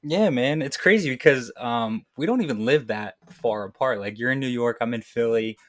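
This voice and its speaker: A man's voice: mid-range voice, normal speed, dry recording, studio quality. A young man from Morocco who grew up in the US, chatting informally as a podcast guest, explaining things a bit hesitantly. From born in Morocco, raised in US from age eight. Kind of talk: casual chat.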